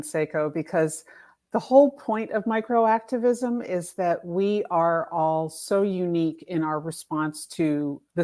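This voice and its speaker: A woman with a slightly low voice speaks as an interview guest. She explains ideas conversationally and with emphasis, like a coach teaching, often voicing imagined examples to illustrate them. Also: darkish tone. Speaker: guest, author and activism coach.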